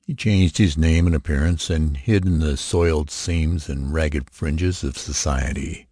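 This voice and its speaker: A man narrating a short story in a measured, dramatic storytelling manner. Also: a bass voice, darkish tone, husky, no room sound, studio quality. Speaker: audiobook narrator.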